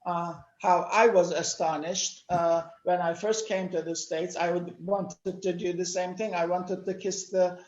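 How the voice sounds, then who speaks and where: An older man from Turkey, speaking natively, giving a measured lecture-style talk with many pauses. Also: high-pitched, level tone, a little mellow, echoey room, muffled recording. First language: Turkish. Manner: measured, explanatory, with frequent "uh" pauses. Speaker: panel speaker. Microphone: video call.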